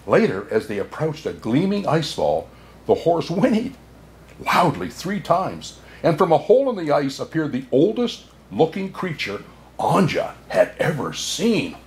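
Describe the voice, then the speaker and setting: A man playing a jolly, kindly old Santa Claus, excitable and chuckling, reading a children's storybook aloud with "oh my" exclamations. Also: mid-range voice, slight background noise, close to an everyday voice. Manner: hearty chuckles and frequent "oh my" exclamations. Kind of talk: children's storybook read-aloud with asides to listeners.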